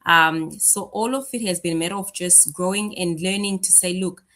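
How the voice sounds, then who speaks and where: A woman's voice: fairly low pitch, normal speed, pushed and bright. A woman co-hosting a livestream, giving a casual, earnest and conversational motivational talk. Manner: conversational, earnest, often says "you know". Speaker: livestream co-host, business owner.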